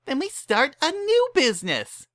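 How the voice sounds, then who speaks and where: A narrator plays an excitable young female cartoon pony, bubbly and insistent. The voice jumps up high and bright and swings around with eager cheer. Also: measured pace, dry recording, full character voice.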